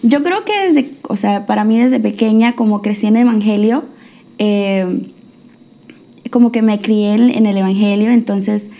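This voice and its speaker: A woman's voice: medium pitch, measured pace, dark tone. A young woman from the United States, a guest on an interview show, telling her faith story in a hesitant way with many fillers and restarts. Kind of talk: testimony interview.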